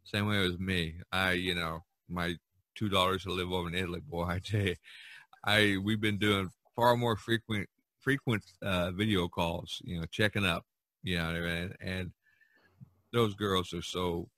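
A man hosting a casual podcast chat, talking in a halting way with many you knows. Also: low voice, fairly even intonation, pushed and bright, dry recording. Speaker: podcast host.

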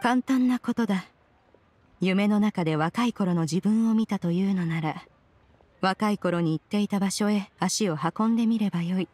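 A woman's voice: mid-range voice, normal speed, a little mellow, no echo, close to an everyday voice. A woman voicing a proud, composed female mystic in a Japanese fantasy game, speaking with archaic, authoritative wording. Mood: calm, decisive. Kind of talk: Japanese anime game voice acting.

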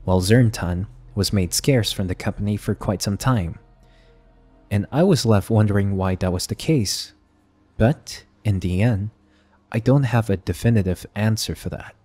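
Guy doing video essay narration, low mellow voice, bit of music under it. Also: slightly rough. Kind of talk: scripted investigative commentary.

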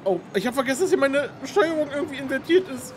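A woman's voice: sits high, lively intonation, quick pace, background noise. A woman gamer chatting casually over a game in exasperated, sweary banter. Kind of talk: casual gaming chat.

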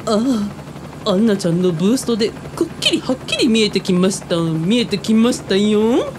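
A woman playing a goofy, lovestruck young male helicopter pilot in a comic radio drama, excitable and comically polite. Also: normal speed, lightly acted. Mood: eager. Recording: noisy helicopter ambience behind voice. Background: helicopter rumble.

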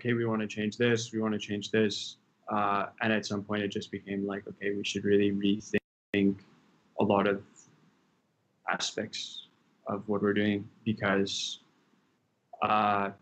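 A young man with a low, gravelly voice talks loosely in a casual interview, circling back over his words with lots of fillers and restarts. He's on a laptop webcam in a room at home. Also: a little hiss or hum, poor audio. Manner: rambling, many fillers and restarts. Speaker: chef and restaurant co-owner. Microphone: laptop webcam on video call.

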